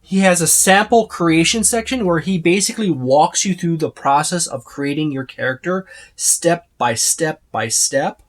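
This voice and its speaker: The voice belongs to a tabletop game reviewer giving an unscripted overview. It sits at a middling pitch. The delivery is casual and rambling, circling back and listing items as it goes. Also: moderate pace. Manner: rambling, repeats and lists items.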